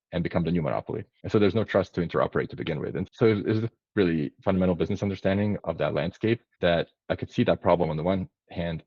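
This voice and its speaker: Man, 30s, from Bosnia: rock-bottom gravelly voice, fast earnest explainer, dull webcam audio. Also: extremely low pitch, a little mellow, dry recording. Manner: earnest, explanatory, many self-restarts. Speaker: startup founder, podcast guest. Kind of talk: long-form interview answer. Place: indoor room, home office. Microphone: video call, webcam framing. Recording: dull lo-fi video call audio.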